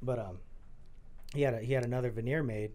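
A man from the Los Angeles area chatting casually on a podcast, telling relaxed stories and acting out the conversations in them. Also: medium pitch, quick pace. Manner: storytelling, relaxed, acts out quoted exchanges. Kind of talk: casual chat.

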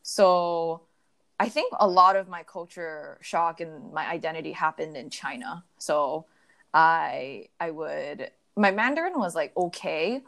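A woman's voice: low voice, a little slow, vocal fry, fairly bright tone. A young adult woman in casual conversation, telling stories reflectively with many fillers. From United States.

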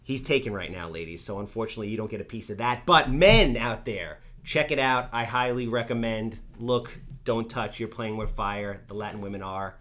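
A man's voice: lowish voice, normal speed, hardly any pauses, fairly bright tone. A man in his thirties hosting a casual podcast, joking and hyping up his guest. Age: 30s. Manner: joking, hyped intro reading. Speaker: podcast host.

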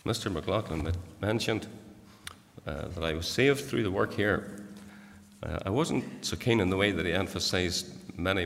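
Older Northern Irish preacher in a church. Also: male voice, deep voice, fairly bright tone, slight background noise. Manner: earnest, rising to emphatic exhortation. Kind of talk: sermon. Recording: church hall reverb.

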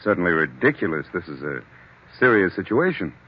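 An actor playing a brisk, exasperated business partner in an old radio drama, insistent and full of sharp questions. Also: male voice, sits low, sing-song, slightly rough, full character voice. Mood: exasperated, concerned. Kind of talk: 1940s radio drama acting. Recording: muffled vintage radio recording.

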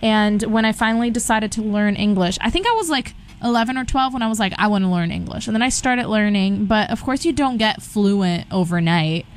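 A woman's voice: medium pitch, expressive, a little fast, few pauses. A young woman from Brazil with a Southern Californian accent, a guest chatting casually with lots of fillers and restarts. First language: Portuguese and Spanish. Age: young adult. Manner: rambling, many restarts and fillers. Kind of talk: casual interview chat.

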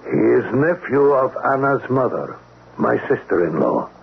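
An actor playing a shrewd, protective older immigrant shopkeeper uncle in a radio drama, polite and measured, with gravelly tone and non-native grammar. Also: male voice, mid-range voice, big pitch swings. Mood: shrewd, firm. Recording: tinny band-limited radio, clear noise.

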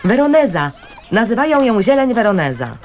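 A worried middle-aged wife and mother in a naturalistic radio play. Her mid-pitched voice moves expressively through defensive, sarcastic retorts. A light layer of background ambience is audible. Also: big pitch swings, a little fast, darkish tone, slight background noise. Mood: distracted. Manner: sceptical, dry retorts. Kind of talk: naturalistic radio drama dialogue.

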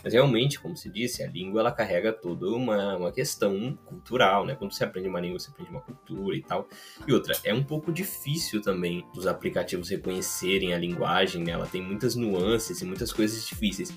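Man, from brazil, low voice, casual podcast chat, relaxed, faint music under. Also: a little fast. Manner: relaxed, conversational, laughs lightly, many fillers. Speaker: podcast co-host.

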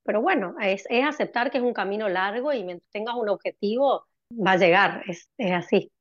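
A middle-aged woman from Argentina with an Argentine accent, chatting as an interview guest and pausing often on fillers and restarts. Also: medium pitch, no room sound, muffled recording. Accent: Argentine Spanish. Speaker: guest, cardiologist. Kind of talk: conversational interview answers.

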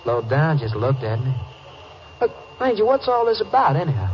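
An actor playing a wary, defiant young cowhand on parole in a 1950s radio drama, guarded and clipped. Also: male voice, a little high, big pitch swings, normal speed. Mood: guarded, uneasy. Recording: muffled, slightly noisy old radio recording.

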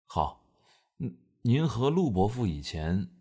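A man acting an aloof young male officer in an audio drama, terse and cool with a guarded soft side. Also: lowish voice, big pitch swings, slow pace, full character voice. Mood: reserved.

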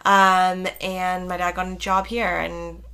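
A woman's voice: fairly low pitch, level tone, slow, pushed and bright, clear, resonant tone. A young woman born in England who speaks with no accent, a fashion designer chatting casually as a guest with lots of fillers. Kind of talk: casual interview chat.

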